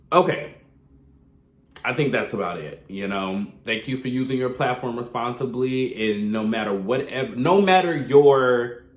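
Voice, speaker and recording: A man with a stuffy, congested voice from a cold, giving a chatty, opinionated recap commentary with sudden high exclamations. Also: echoey room. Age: adult, past his thirties. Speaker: reality TV recap vlogger.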